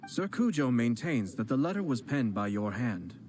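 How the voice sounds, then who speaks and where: A man voicing a composed young male noble clan head who speaks in a measured, formal and polite way in a game. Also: medium pitch, big pitch swings, lightly acted. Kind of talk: video game voice acting. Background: game music.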